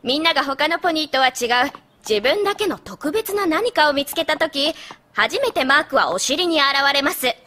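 Bright, earnest cartoon schoolteacher. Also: female voice, high-pitched, lively intonation, moderate pace. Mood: gentle, instructive. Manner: polite classroom explaining. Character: kindly, earnest schoolteacher pony mare. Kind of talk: dubbed cartoon acting.

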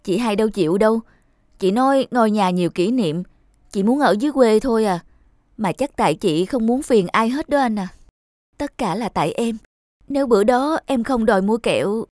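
A woman's voice: on the high side, animated, moderate pace, a little mellow, dry recording, clean studio sound, lightly acted. A woman playing a tender, wistful young wife in a cartoon. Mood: sad, guilty. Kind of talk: cartoon dialogue.

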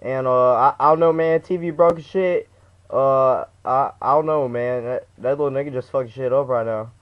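A young man playing an aggrieved, slangy male caller who stammers and repeats himself in a medium-pitched voice. He sounds like a prank-call customer, caught on dull, lo-fi webcam audio. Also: fairly even intonation, moderate pace, lightly acted. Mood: plaintive. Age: young adult.

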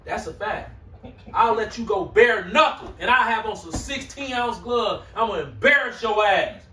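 High-voiced guy talking trash, laughing a lot, echoey room, mic far off. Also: animated, moderate pace, pushed and bright, slight background noise. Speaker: church elder. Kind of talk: heated group talk.

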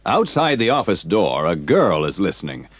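A man's voice: low-pitched, sing-song, moderate pace, dry recording. A man narrating a scripted adventure story for an audio drama, on an old cassette recording. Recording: noisy old cassette transfer.

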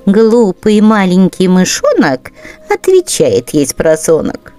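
A woman's voice: mid-range voice, wildly swooping pitch, takes it slowly, dark tone, faint music behind. A woman storyteller narrating children's verse expressively.

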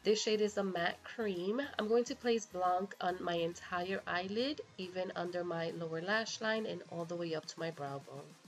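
Nasal woman with a cold, gravelly. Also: on the low side, normal speed, hardly any pauses, faint music behind. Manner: casual, chatty, a little crude. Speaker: beauty vlogger. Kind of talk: makeup tutorial voice-over.

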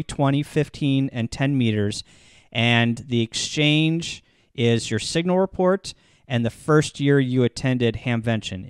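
A man narrating a casual software tutorial in an instructional, step-by-step manner into a close mic. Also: mid-range voice, smooth, no room sound. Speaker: tutorial presenter.

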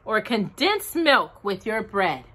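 A woman's voice: mid-range voice, very animated, slow pace, pushed and bright, exaggerated voice. A woman voicing a fussy, careful, polite male rabbit in a storybook reading, prim and proper.

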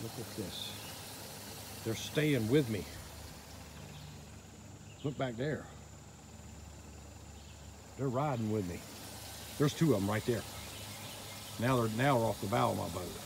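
A man filming from a small boat on a river outdoors, giving excited, quiet running commentary into his handheld phone. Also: medium pitch, slow pace, vocal fry, pauses often. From Northeast Florida. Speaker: fishing vlogger. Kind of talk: casual narration while filming. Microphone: handheld phone camera. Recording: outdoor noise, insects behind.

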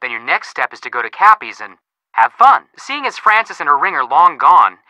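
A man's voice: fairly high pitch, sing-song, moderate pace, bright, forceful tone, dry recording, exaggerated voice, poor audio. An actor voicing a wry, teasing man who hands out playful clues in a video game. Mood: playful, helpful. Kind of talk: video game voice acting, hint dialogue.

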